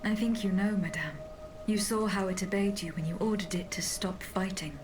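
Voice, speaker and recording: An actress playing a composed royal bodyguard in a video game, speaking formally and with earnest insistence. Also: female voice, medium pitch, moderate pace, lightly acted. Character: composed, insistent bodyguard woman revealing hard truths. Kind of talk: dramatic video game cutscene acting. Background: music.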